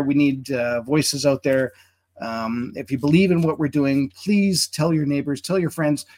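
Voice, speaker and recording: A man hosting a live webinar over a video call, chatty and promotional, with many filler words as he introduces a guest. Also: on the high side. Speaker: webinar host.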